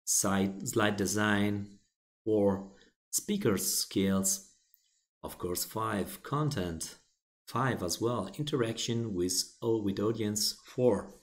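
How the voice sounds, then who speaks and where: Man, Italian native, unhurried and fairly low, plain step-by-step tutorial narration with restarts. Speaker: software tutorial presenter. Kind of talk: screen-recorded software walkthrough.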